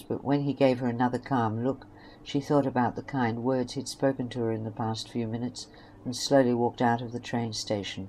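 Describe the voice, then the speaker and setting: A woman's voice: very deep voice, darkish tone, a little hiss or hum, poor audio. A woman reading scripted story narration for an amateur audio drama.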